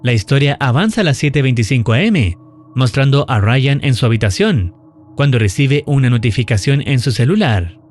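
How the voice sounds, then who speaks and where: A man giving a scripted horror recap narration in a measured, serious storytelling manner. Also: mid-range voice, animated, a little mellow, slight background noise.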